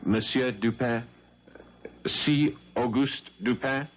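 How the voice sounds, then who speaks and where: A man's voice: medium pitch, takes it slowly, natural voice. An actor playing an anxious young French policeman in love, hesitant and stammering, in a 1970s radio mystery drama. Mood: awed. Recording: dull 1970s AM radio broadcast.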